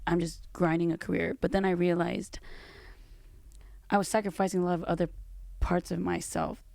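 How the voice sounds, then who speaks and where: A woman co-hosting a podcast, talking in a soft, low voice, laid-back and slangy with a playful streak. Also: gravelly, no echo. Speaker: podcast co-host. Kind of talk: casual podcast chat.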